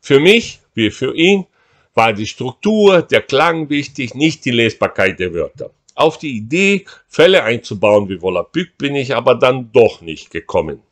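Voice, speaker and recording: Older guy w/ reading his text real slow, dry humor, webcam at home. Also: medium pitch, sing-song. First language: Portuguese. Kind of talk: reading aloud, switching between languages. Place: room at home, indoors. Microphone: webcam at desk.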